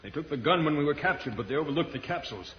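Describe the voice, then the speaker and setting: An actor playing a resolute, level-headed space hero in an old-time radio serial, earnest and reasoning aloud. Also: male voice, a little high, lively intonation, racing, close to an everyday voice. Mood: calm reasoning. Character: heroic male space adventurer, resolute, level-headed protector. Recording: muffled, hissy vintage radio, cavernous reverb.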